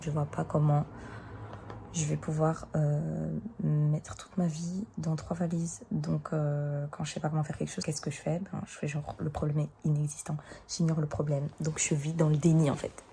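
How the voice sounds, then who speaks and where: A woman's voice: deep voice, level tone, moderate pace, vocal fry, mellow, a little hiss or hum. A young woman in her early twenties with a Belgian French accent, vlogging casually and mixing in English words and slang. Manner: chatty, sprinkles in English words and youthful slang. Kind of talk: casual vlog with scripted voice-over narration.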